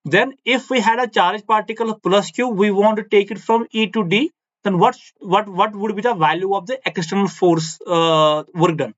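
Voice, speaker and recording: A man's voice: high-pitched, fairly even intonation, moderate pace, a bit bright, dull audio. A man whose first language is Kashmiri, teaching physics on a board and repeating key phrases, often checking with "no?". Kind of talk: blackboard physics lecture.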